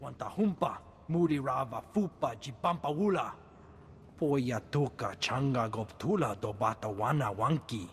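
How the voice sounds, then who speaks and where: A man's voice: medium pitch, animated, normal speed, in a large hall, slight background noise, close to an everyday voice. An actor playing a businesslike male alien in a video game, speaking an invented chattering language.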